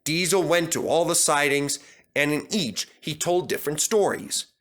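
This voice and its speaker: A man's voice: fairly high pitch, moderate pace, fairly bright tone. A man narrating a scripted children's story as a storyteller. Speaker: storyteller, fandub reader.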